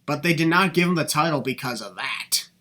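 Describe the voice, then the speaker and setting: A man's voice: on the high side, brisk, bright, forceful tone. A young man hosting a casual podcast discussion, talking emphatically and circling back to the same phrase to hold the floor. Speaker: podcast host.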